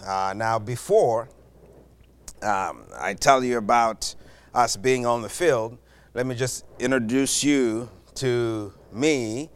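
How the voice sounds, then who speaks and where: A missionary man from Zambia, talking to a church with many pauses and uh fillers. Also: medium pitch, smooth. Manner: halting, with frequent uh fillers. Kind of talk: church greeting and testimony.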